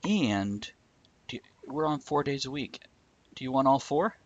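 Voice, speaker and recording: A man's voice: mid-range voice, animated, a little slow, no echo, lo-fi sound. A man hosting a live stream, chatting casually with a guest and explaining things at length. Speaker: host.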